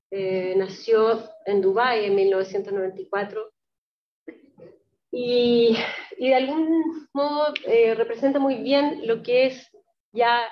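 A woman giving a public talk on poetry with readings, explaining in a halting way and often checking with short tag questions. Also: a little high. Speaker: lecturer presenting Palestinian poetry. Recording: roomy, distant, dull lo-fi pickup.

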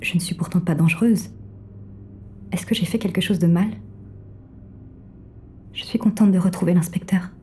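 An actress playing a gentle, mysterious, devoted female companion, speaking an intimate audio fiction monologue in a soft, soothing manner. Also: fairly low pitch, normal speed, pauses often, a little hiss or hum, lightly acted. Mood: disoriented. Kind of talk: scripted first-person audio fiction monologue.

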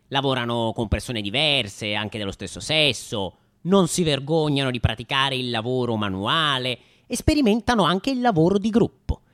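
Male comic for satirical radio monologue, mock-serious, highly animated intonation, theatrical emphasis. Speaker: comedian, writer-performer of a radio monologue. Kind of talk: scripted satirical comedy monologue.

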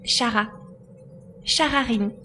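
A woman playing a spirited, capable girl pilot in an audio drama, brisk and teasing. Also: on the high side, sharp tone, breathy edge, faint music behind, exaggerated voice. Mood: attentive. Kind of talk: acted audio drama dialogue.

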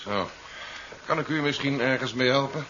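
An actor playing a cautious, orderly military man in a 1970s radio play, talking in a measured, practical way. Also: mid-range voice, pushed and bright, natural voice. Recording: muffled vintage radio recording, faint noise.

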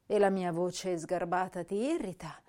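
A woman's voice: lowish voice, mellow, close to an everyday voice. A woman playing an intense, tender, self-reproaching young poet in a radio drama, reading her letters and verse with theatrical feeling. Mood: self-reproachful, wounded. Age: young adult. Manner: slow, emotive, theatrical delivery.

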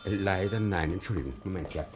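Casting: a man for a low, deep receptionist in a radio crime drama, obliging, busy and a little fussy. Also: fast talker, over music, close to an everyday voice. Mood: harried, courteous. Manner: courteous, fussy, harried. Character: busy, deferential male hotel receptionist. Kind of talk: 1960 German radio crime drama acting.

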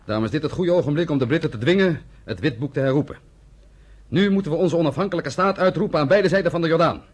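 An actor playing a fiery, bitter underground fighter leader in a radio play, declamatory and defiant. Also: male voice, a little high, fast, breathy edge. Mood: defiant, fervent. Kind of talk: Dutch radio play acting.

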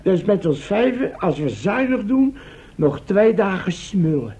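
An actor in a Dutch radio play playing an excitable, cheeky young man trapped in a castle. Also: high voice, a little slow. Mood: dry teasing. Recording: dull lo-fi old radio recording.

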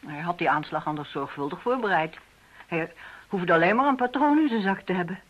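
An actress playing a sharp, inquisitive detective's assistant with a husky voice in a radio play. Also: female voice, lowish voice, lively intonation, brisk, muffled recording. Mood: curious, dry.

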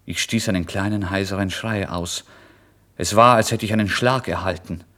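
A man with a fairly low voice narrates a literary story in the first person. His delivery is theatrical, able to move from a hushed murmur to excited outbursts. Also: normal speed.

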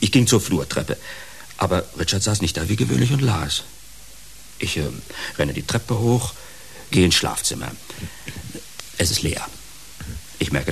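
A volatile, sleepless fallen television celebrity in a radio drama. The voice is low and creaky, brooding and theatrical, with halting speech that can swing widely in pitch. A light hiss sits on the recording. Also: male voice, poor audio.

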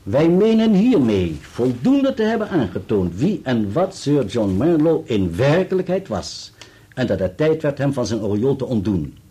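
A measured legal adviser in a radio drama. His formal, articulate delivery moves in pitch. The voice is mellow with a slight huskiness. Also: big pitch swings, slightly rough. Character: measured legal adviser reading out a written accusation. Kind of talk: Dutch radio play acting, formal reading.

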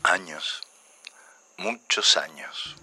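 Bereaved, wistful Argentine man, very low sharp voice, sighing and whispery, radio play. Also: drawn-out words, husky, exaggerated voice. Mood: grieving. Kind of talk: radio drama acting.

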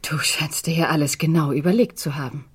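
A woman's voice: deep voice, steady tone, fairly quick, slightly rough. An actress playing a firm, possessive mother in a radio drama, speaking in an insistent, controlled manner. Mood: reproachful. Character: firm, possessive mother pleading with her resistant daughter. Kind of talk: German radio crime drama acting.

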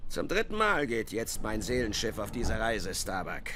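An actor playing an obsessed, commanding whaling captain in a German radio play, theatrical and gravelly, swinging from mutters to bellowed orders. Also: male voice, on the low side, sing-song, normal speed, sharp tone, slight background noise. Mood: solemn, fateful.

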